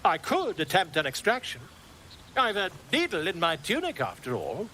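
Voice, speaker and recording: A man's voice: sits high, wildly swooping pitch, moderate pace, bright, forceful tone, a little hiss or hum, heavily acted. A man playing a pompous, overconfident bard and self-styled scholar in a video game, effusive and florid. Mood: cocksure. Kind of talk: video game character acting.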